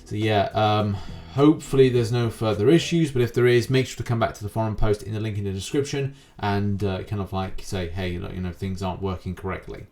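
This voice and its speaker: A man's voice: lowish voice, fairly even intonation, a little fast, darkish tone. Man hosting gaming news over music. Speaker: host of a gaming news show. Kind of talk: YouTube gaming news commentary, semi-scripted. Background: background music.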